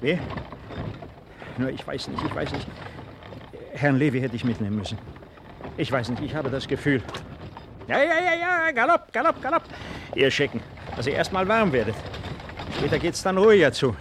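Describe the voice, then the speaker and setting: An actor playing a polite, worried German baron in a 1950s radio drama, hesitant and repeating himself. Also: male voice, on the high side, sing-song, moderate pace, long pauses. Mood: urging. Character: worried, courteous German baron, a fleeing landowner. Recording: noisy old 1950s radio recording.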